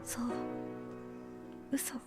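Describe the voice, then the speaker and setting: An actress playing a proud, bashful, sharp-tongued young woman scientist in a Japanese visual novel, halting and tender. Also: close to an everyday voice. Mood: teasing, wistful. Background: game music.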